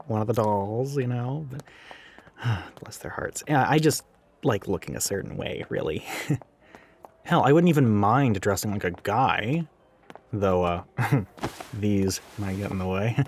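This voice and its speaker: A man's voice: mid-range voice, a little mellow, a little hiss or hum. A man playing a chatty, sarcastic, gender-ambiguous retail coworker who goes by she, in a casual, rambling audio roleplay addressed to the listener. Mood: candid, wry. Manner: rambling, sarcastic, flippant, with sighs and chuckles.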